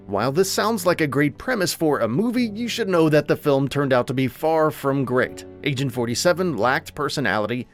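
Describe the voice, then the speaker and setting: Animated male narrator over faint music. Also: mid-range voice. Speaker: host narrator of a movie list show. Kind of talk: scripted video essay narration.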